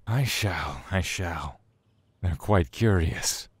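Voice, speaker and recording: An actor playing a brooding, aloof god in an audio drama, wary yet curious, with formal, archaic phrasing. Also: male voice, low voice, slow, breathy edge, heavily acted. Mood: aloof, unafraid.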